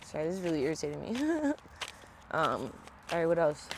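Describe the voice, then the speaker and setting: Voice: medium pitch, big pitch swings, a little fast, creaky, noisy background. Congested, blunt-talking tarot reader. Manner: casual, blunt and profane. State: congested from a sinus infection. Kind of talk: casual tarot reading. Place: at home.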